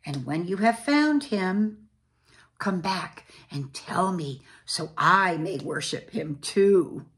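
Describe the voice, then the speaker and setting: A woman's voice: fairly low pitch, animated, slow pace, fairly bright tone. A woman voicing an evil, scheming male king from a storybook, commanding while feigning piety. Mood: commanding, sly.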